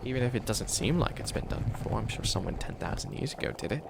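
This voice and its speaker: A man's voice: lowish voice, animated, fast, vocal fry, noisy background. A man playing a bumbling, easily flustered male witch who wants to be a villain, stammering and rambling in comedic ASMR roleplay. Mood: defensive, rambling.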